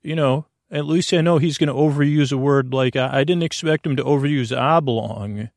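A man hosting a bedtime podcast with a creaky voice, meandering gently and hesitantly through soothing, tangent-filled reassurance. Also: mid-range voice, moderate pace, dry recording, clean studio sound. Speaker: host of a bedtime sleep podcast. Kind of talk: rambling, soothing bedtime monologue.

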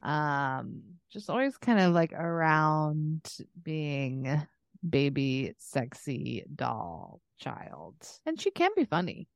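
Female podcast co-host, lowish smooth voice, close dry sound, candid, giggly and loose comedic banter. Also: big pitch swings, slow pace, no echo. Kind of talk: casual comedic chat.

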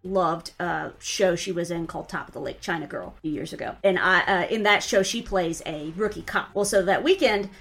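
A woman's voice: on the low side, brisk, gravelly, few pauses, a bit bright. Woman, podcast co-host, big voice, chatty, casual storytelling. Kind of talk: casual chat.

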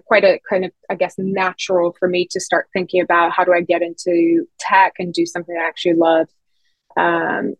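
Podcast guest: woman, 30s, from Bulgaria, loose talkative delivery, low register, dry sound. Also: no echo, poor audio. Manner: chatty, many fillers and self-corrections. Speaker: guest, head of people experience. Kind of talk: conversational podcast interview.

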